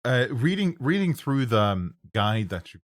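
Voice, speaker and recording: A man with a Norwegian accent, a native Norwegian speaker, hosting a casual interview, with halting restarts. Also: mid-range voice, big pitch swings. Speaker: podcast host.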